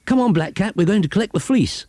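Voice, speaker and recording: Man voicing an excitable high-pitched talking broomstick. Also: fast. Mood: eager. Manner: high, exclaiming, childlike eagerness. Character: eager, excitable young-sounding magic broomstick, male. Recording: noisy 1980s cassette tape transfer.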